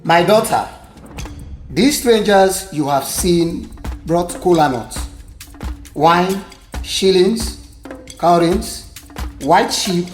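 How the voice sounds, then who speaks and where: A man with a Sierra Leonean accent playing a dignified chief and devoted father in an amateur audio drama, speaking solemnly and formally. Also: sits high. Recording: echoey room, faint music behind.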